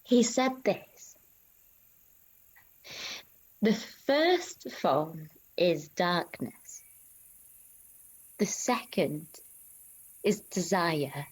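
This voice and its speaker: A woman playing a female disciple who solemnly recounts a vision in a halting, reverent dramatic monologue with long pauses. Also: fairly low pitch, expressive, breathy edge, exaggerated voice, rough recording. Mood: reverent, hushed. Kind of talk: dramatic monologue of an ancient religious text.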